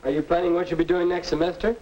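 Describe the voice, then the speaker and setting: Young man as preachy, confident American schoolboy, high-pitched for a male, dull fifties educational film soundtrack. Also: flat delivery, rapid-fire, warm tone, natural voice. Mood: earnest, probing. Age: young adult. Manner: preachy, earnest. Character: earnest high school boy reporter, confident, advice-giving friend. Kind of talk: 1950 instructional film acting.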